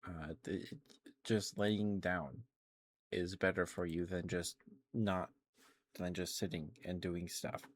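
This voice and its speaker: Man, low-pitched, unhurried, halting, gentle ASMR chat, live streamer. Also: steady tone, dry recording. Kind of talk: ASMR, casual rambling chat.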